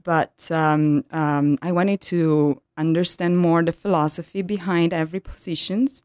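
A woman in her thirties from Italy with an Italian accent, a yoga teacher telling her story in an interview, pausing often to find words in English. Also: on the low side, fairly even intonation, a little mellow, smooth, no room sound, studio quality. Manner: hesitant, searching for English words with many ums.

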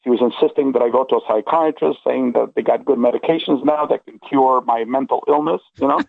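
A man's voice: medium pitch, a little fast, gravelly, breathy edge, no room sound. A middle-aged man telling a personal story in a casual, rambling, earnest way, stressing points and checking the listener follows. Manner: earnest, emphatic, checks in with okay and you know. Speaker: interview guest recounting personal experiences. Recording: tinny phone-like line.

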